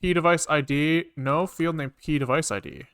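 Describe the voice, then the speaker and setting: A man's voice: a little high, fairly even intonation, moderate pace, a bit bright, clear, resonant tone, dry recording. A man thinking aloud as he programs on a livestream, muttering code in fragments and swearing casually, close to a desk microphone.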